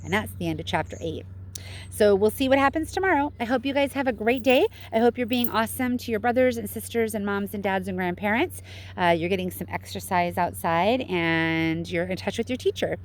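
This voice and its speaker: A woman's voice: mid-range voice, a little fast, slight background noise. A woman reading a children's story aloud expressively, like a teacher to pupils.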